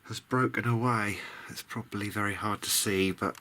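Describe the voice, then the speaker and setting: A man's voice: lowish voice, steady tone, normal speed, pushed and bright. A British man giving a casual, muttering commentary as he works on a repair, mildly grumbling and self-deprecating. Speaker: hobbyist electronics video diarist.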